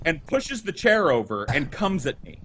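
A man in his thirties telling a personal story for laughs on a podcast, animated and dramatic, with swearing. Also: on the high side, normal speed, heavy background noise. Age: 30s.

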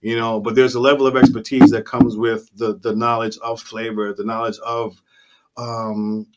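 A man's voice: medium pitch, level tone, moderate pace, poor audio. A Jamaican-born man raised in the Bronx talks casually and reflectively as a guest chef in a podcast interview, with plenty of colloquial phrasing. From Jamaica, raised in the Bronx, New York. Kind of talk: casual conversational interview talk.

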